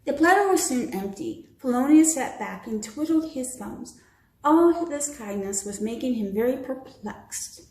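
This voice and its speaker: An American woman reads a children's bedtime story with expression. Her voice is fairly high yet mellow, and she takes her time over the words. The room echoes noticeably around her. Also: animated, measured pace. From Michigan, United States. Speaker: storybook reader.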